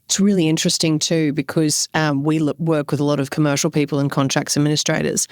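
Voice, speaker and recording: A woman's voice: low voice, steady tone, fast, dry recording, clean studio sound. A woman hosting a podcast, speaking conversationally and reflectively as she thinks aloud and puts questions to a guest. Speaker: podcast host. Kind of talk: conversational interviewing.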